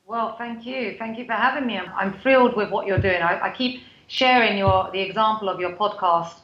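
A woman's voice: mid-range voice, moderate pace, reverberant, dull audio, slight background noise. A woman from the UK with a British accent, a guest on a podcast interview, talking in a chatty way with many fillers and restarts. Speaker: guest, foundation CEO.

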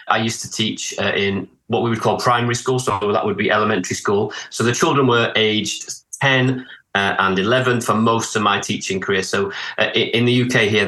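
A man from northeast England with a northern English accent, talking as a podcast guest in a low, bright, forward voice. He talks in a halting, thoughtful way and often restarts his sentences. The room around him sounds echoey. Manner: hesitant, many restarts and "sort of". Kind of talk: casual interview talk.

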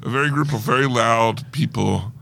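A man co-hosting a casual podcast discussion of films, talking in an animated, conversational way. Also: medium pitch, moderate pace, vocal fry, no echo. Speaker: podcast co-host. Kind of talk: casual movie trailer discussion.

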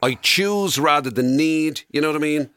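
An Irish man co-hosting a radio show in casual chat, with a halting, wry conversational manner. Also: a little high, fairly bright tone, clean tone, no room sound, studio quality.

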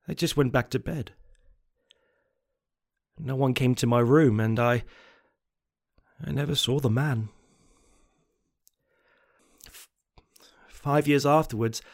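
A man playing a desperate, obsessed confessor in a horror story, halting and repeating words. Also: mid-range voice, darkish tone. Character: unstable, haunted anonymous confessor on tape, desperate and obsessed. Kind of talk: dramatic first-person horror monologue.

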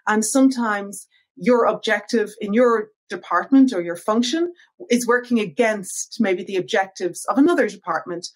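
Irish woman, podcast guest, measured and articulate, mid pitch. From Ireland. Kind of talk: interview answers.

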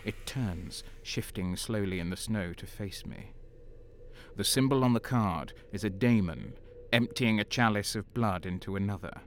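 An actor playing a bitter, violent male renegade guardsman, narrating slowly in the first person. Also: on the low side, a little hiss or hum, close to an everyday voice. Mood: tense. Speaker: narrator and lead. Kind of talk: audio drama narration. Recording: music underneath.

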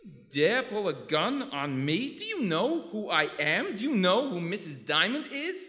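High-voiced tough gangster, echoing. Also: male voice, big pitch swings, normal speed, pushed and bright, full character voice. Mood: indignant. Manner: blustering, indignant. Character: tough, hot-tempered male gangster boss, smuggler. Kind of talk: radio play acting. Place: stage before a live audience. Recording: live hall with long reverb.

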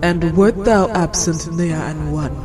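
A woman's voice: low-pitched, takes it slowly, over music. A woman playing a fawning, wheedling old crone in an Arabian Nights audio drama, with flowery archaic speech. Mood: pleading, flattering. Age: older. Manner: ingratiating, florid, archaic speech.

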